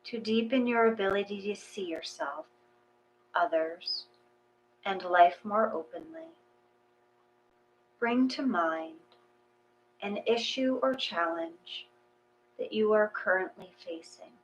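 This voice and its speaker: A woman reading a guided meditation in a gentle, unhurried, soothing way, with pauses between phrases. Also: mid-range voice, animated, slight background noise, rough recording.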